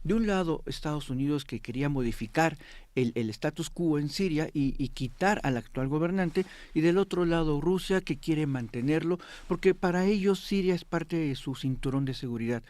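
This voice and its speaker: A man's voice: fairly high pitch, moderate pace, darkish tone, dry recording. A man with a Mexican accent explaining world affairs as an academic guest in an interview.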